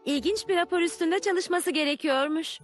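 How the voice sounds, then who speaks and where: A woman's voice: extremely high pitch, moderate pace, soft background music. A woman voicing a friendly, welcoming young girl in a cartoon dub. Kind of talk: animated educational cartoon dub.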